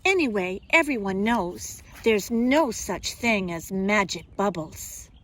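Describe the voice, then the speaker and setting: A woman kindergarten teacher speaking simply and expressively to young children, in a deliberate storytime manner. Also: mid-range voice, lively intonation. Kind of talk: children's storybook read-aloud and simple instruction.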